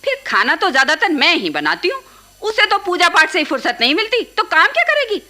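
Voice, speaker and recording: Shrill, nagging middle-aged aunt. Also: female voice, huge pitch swings, fairly quick, bright, forceful tone, exaggerated voice. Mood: indignant, complaining. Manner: scolding, complaining, sarcastic. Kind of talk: Hindi radio play acting.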